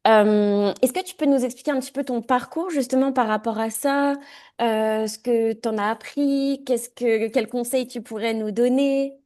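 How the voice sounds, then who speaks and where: Upbeat higher-voiced woman host. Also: normal speed, darkish tone. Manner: upbeat, with frequent backchannels. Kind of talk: interview questions.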